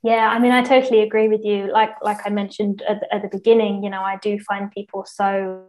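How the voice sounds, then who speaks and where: A woman's voice: mid-range voice, little pitch movement, brisk, darkish tone, low-quality recording. A woman who teaches Portuguese as a non-native speaker, explaining in a casual interview, with many fillers and restarts. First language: English.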